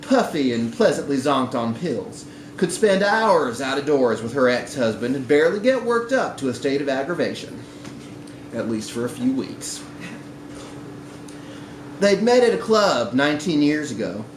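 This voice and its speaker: A man's voice: mid-range voice, big pitch swings, moderate pace. A man reading a short story aloud expressively at a live event, indoors in a bar. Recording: roomy, noisy live bar recording.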